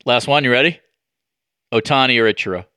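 Bright-voiced man hosting an interview, dry sound. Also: medium pitch, brisk, smooth, no room sound. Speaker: podcast host and interviewer. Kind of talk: casual sports media interview.

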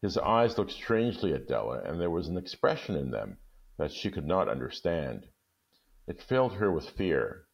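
A male story reader with a very low, creaky voice. He speaks expressively, with wide swings of pitch. The recording is slightly muffled. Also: very animated, normal speed. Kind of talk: expressive literary storytelling and talk.

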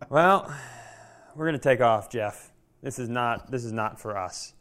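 Man bantering casually, mid-pitched voice. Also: slow pace, a bit bright. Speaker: comedy podcast co-host.

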